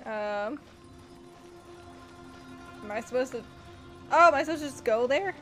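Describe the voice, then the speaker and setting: A woman giving casual, expressive, exclamatory reactions as she plays a video game. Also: high voice, unhurried, soft background music, low-quality recording. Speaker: gaming streamer.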